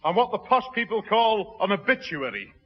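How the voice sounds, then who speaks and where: Blustering Northern English bloke, high-pitched, mangles fancy words, old radio comedy in a reverberant hall. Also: male voice, sing-song, moderate pace, husky, heavily acted. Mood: jovial, boastful. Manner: blustering, malapropism-prone. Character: jovial, pompous working-class Northern man, mangles big words. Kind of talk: 1960s radio comedy acting. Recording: muffled, echoing, noisy old radio broadcast.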